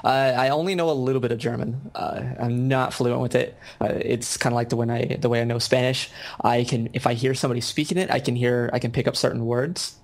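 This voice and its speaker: American man, young adult, game designer, monotone delivery, mid pitch, halting speech with fillers. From United States. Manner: halting, many ums and restarts. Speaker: video game designer, guest. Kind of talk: casual interview chat.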